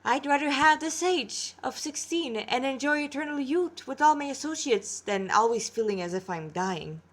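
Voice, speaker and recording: A youthful boy god from a story, voiced by a woman, with a fairly high voice. He is gentle and affectionate, playfully sure of his own eternal youth. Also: lively intonation, normal speed. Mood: playfully proud. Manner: chuckling, affectionate. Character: eternally sixteen-year-old boy god, gentle, playful, self-assured.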